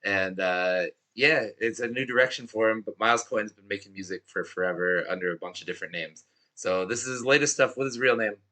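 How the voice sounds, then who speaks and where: A man with a hoarse, worn-out voice hosting a live music show in a chatty, pumped-up way. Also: on the low side, a little fast, pushed and bright. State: hoarse, has lost his voice. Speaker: show host.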